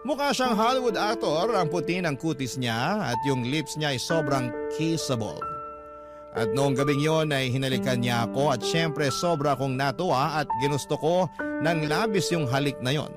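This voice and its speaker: Fairly high-voiced Filipino male storyteller over loud music. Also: normal speed. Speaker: radio host reading a listener's letter. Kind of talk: dramatic first-person story narration.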